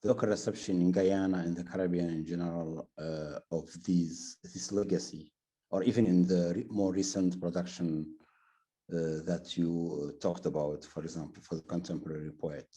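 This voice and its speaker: A man with a very deep voice moderates a question session after a talk. His tone is mellow and dark. He calls on the next person and asks his own question. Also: steady tone, moderate pace, breathy edge.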